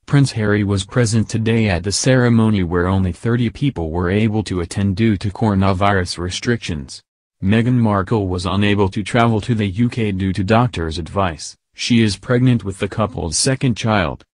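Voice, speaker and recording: A man with a fairly low voice reads scripted news narration. His delivery is even and uninflected. The recording is dry and close, with no room sound. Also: normal speed, no room sound.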